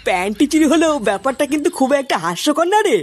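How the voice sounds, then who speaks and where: A woman playing a know-it-all village man from a comic cartoon. The voice is high and lively, rattling through superstitious warnings with faint noise behind. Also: racing. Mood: ominous. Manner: cautionary, explaining.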